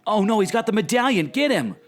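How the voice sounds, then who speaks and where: A man acting an excitable, anxious and skeptical adventurer sidekick in an audio adventure play. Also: high voice, brisk, background noise. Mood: excited.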